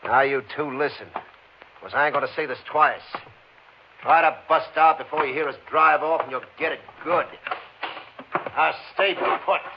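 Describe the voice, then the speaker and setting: An actor playing a menacing, hard-boiled gang boss in a 1950s radio western, giving curt, threatening commands. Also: male voice, a little high, normal speed, close to an everyday voice. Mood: cold, threatening. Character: hard-boiled gunman, bossy, menacing smuggler gang leader. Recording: hissy, muffled old 1950s radio recording.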